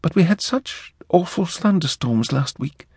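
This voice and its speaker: A man's voice: fairly high pitch, animated, measured pace, a little mellow, breathy edge, no echo, clean studio sound, voice pushed up, full character voice. A man voicing a prim, earnest young woman. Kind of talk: audiobook character voice.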